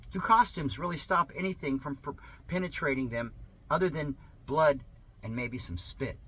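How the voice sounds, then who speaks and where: Theatrical, sarcastic man reading aloud, swooping pitch. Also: sharp tone, slightly rough. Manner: theatrical, mock-questioning, with drawn-out emphasis and muttered asides. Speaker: amateur reader of an article. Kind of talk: sarcastic dramatic read-aloud with asides.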